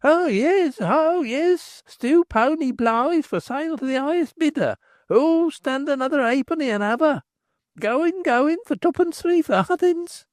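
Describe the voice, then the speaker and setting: A man doing shrill, jeering street boys. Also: lively intonation, unhurried, dry recording, studio quality. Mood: mocking. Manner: mocking, shrill chanting.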